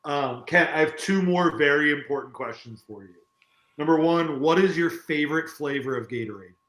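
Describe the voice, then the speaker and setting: A man co-hosting a podcast, asking quick, upbeat questions in casual chat. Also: on the high side, a bit bright, roomy sound, low-quality recording. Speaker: podcast co-host.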